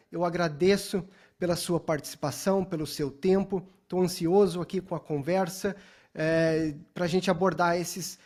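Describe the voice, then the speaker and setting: A man's voice: high-pitched, a little mellow. A Brazilian man speaks as the host of an interview podcast. He introduces topics and puts questions to his guest in polite, somewhat formal language. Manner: courteous, formal phrasing.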